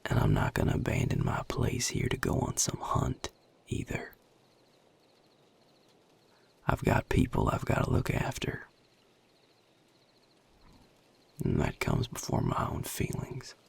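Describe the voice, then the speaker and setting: A world-weary, level-headed male vampire with a Southern US drawl, acted by a man. His voice is heavily fried and very husky, with pitch that swings widely beneath the hush. He talks in measured, protective, plain-spoken phrases, as intimate audio drama addressed straight to the listener. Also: huge pitch swings, full character voice. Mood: guarded, reluctant. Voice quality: breathy, gravelly low drawl. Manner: measured, hushed, plain-spoken with deliberate pauses.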